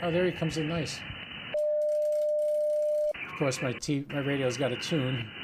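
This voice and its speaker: A man's voice: medium pitch, unhurried. A man giving casual running commentary as he operates a ham radio, thinking aloud through each step. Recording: loud radio hiss and static noise. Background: ham radio hiss and chatter.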